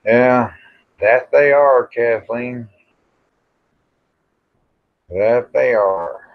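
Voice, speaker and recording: Casting: American man, plain-spoken livestream host, very slow rambling delivery, muffled lo-fi sound. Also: mid-range voice. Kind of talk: casual rambling chat with live viewers. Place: outdoors in the evening. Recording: dull lo-fi livestream audio.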